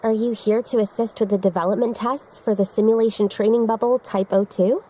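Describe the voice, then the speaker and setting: A woman voices a shy young researcher in a game, her voice fairly high but mellow, soft and earnest. A faint hiss lies under the audio. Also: fairly even intonation, a little fast, natural voice. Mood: polite.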